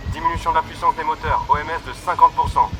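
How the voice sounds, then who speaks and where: Higher male pitch, young man acting a flight controller, clipped, technical, sci-fi audio drama, faint vehicle noise. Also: steady tone, fast, natural voice. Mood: focused, urgent. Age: young adult.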